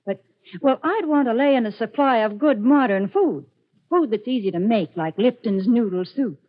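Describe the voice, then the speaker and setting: A woman with a fairly high, very lively voice pitches products on 1940s radio. She is chatty and folksy, leaning on key words. The old broadcast is slightly muffled. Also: moderate pace. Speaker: sponsor announcer.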